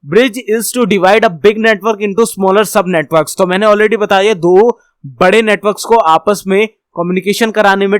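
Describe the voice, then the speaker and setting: High-pitched Indian man teaching. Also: clear, resonant tone, no echo, studio quality. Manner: repeats and rephrases points step by step; mixes English technical terms into speech. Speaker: instructor. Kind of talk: informal explanatory tech tutorial.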